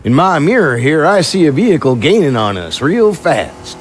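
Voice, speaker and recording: Folksy, steady sheriff, played by a man, animated pitch. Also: moderate pace, slight background noise. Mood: cool, commanding. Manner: folksy, drawling turns of phrase, reassuring. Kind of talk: audio drama acting.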